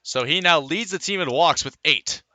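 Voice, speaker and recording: A man calling live baseball play-by-play on radio, filling in player stats between pitches. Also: moderate pace, bright, forceful tone, no echo. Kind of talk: live sports commentary.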